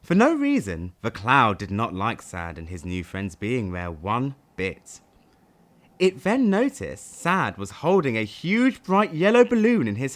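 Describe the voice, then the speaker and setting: A man reading a children's audiobook as narrator, with dramatic, expressive delivery. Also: medium pitch. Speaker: audiobook reader.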